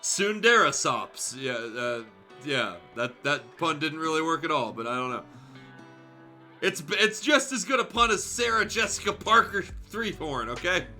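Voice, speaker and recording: A man's voice: on the high side, sing-song, unhurried, bright, forceful tone, soft background music. A man livestreaming a read-aloud of fan fiction, breaking off for sardonic, sweary, off-the-cuff commentary.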